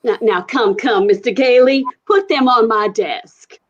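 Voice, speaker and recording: A woman plays a stern, easily flustered judge in an old-time radio drama. The voice is high, and the live-stream recording is somewhat dull and muffled. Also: a little slow. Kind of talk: 1940s-style live radio play acting.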